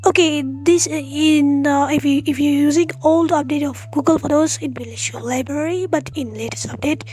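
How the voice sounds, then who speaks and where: A woman hosting a tech tutorial, explaining step by step in a halting, friendly way and speaking straight to her viewers. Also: sits high, steady tone, a little mellow, soft background music. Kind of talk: spoken tutorial walkthrough.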